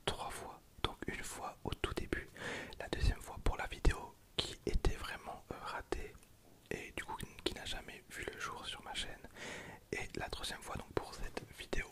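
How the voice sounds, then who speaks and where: An ASMR host speaking in a soft, breathy whisper, chatting casually and informally to viewers in an unscripted, rambling way. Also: extremely high pitch. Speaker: host of an ASMR card-opening video channel.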